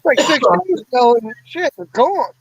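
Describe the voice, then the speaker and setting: A man's voice: very high-pitched, lively intonation, moderate pace, slightly rough, dry recording. A man in his forties in a casual, blunt and profane chat, rambling as he talks. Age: 40s. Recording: dull lo-fi dry sound.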